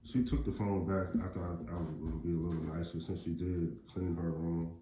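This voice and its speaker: A middle-aged American man with a deep voice, chatting casually in a rambling, plain-spoken way as a parent giving advice. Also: fairly even intonation, a little fast, mellow. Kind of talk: casual chat. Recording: roomy echo, faint background noise.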